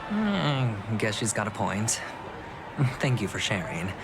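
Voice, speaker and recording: A man voicing a suave young gambler in a video game, smooth, casual and wryly amused as he probes for secrets. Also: on the low side, big pitch swings, slow pace, very noisy, heavily acted. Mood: charming.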